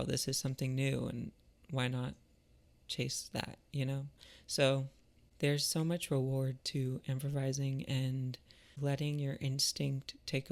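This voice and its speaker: A man talking in a casual, reflective monologue, thoughtful and halting, with a creaky voice. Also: mid-range voice. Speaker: artist and musician vlogger.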